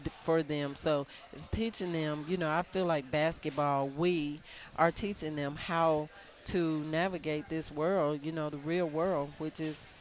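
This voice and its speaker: A woman basketball coach in a live radio interview, soft-spoken and earnest, often restarting her sentences. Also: deep voice, fairly even intonation, a little slow.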